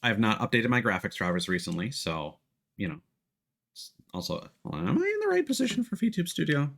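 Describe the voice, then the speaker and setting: A man's voice: medium pitch, wildly swooping pitch, a little fast, vocal fry, fairly bright tone. A man giving casual live gameplay commentary as a streamer, rambling and restarting his sentences mid-thought.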